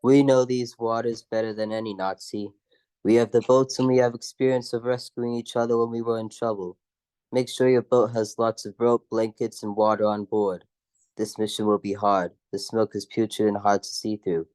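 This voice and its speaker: An older man acts a sober fleet leader in a radio drama. His voice is low and smooth, with very little rise and fall. He briefs gravely and plainly. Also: level tone, darkish tone, lightly acted. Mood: grave, resolute. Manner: grave, measured, matter-of-fact. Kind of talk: amateur radio play.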